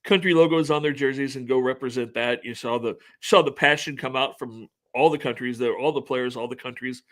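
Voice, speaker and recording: A man co-hosts a casual sports talk show, speaking fast in a relaxed, easy way. He often restarts the beginning of his sentences, at a mid-range pitch. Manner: quick, relaxed, repeats openings.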